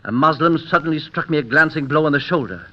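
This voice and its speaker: An actor playing a proud warrior emperor of Spain with a secret terror, in a theatrical, impassioned old radio drama style. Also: male voice, fairly high pitch, quick pace, lightly acted. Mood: grave, boastful. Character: brave emperor of Spain secretly terrified of mice. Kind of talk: 1930s-40s radio drama acting. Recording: dull, lo-fi old radio broadcast recording.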